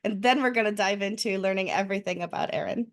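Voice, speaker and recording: A woman's voice: medium pitch, fast. A woman hosting a podcast, introducing a guest in a bubbly, effusive way. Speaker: podcast host. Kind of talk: podcast interview.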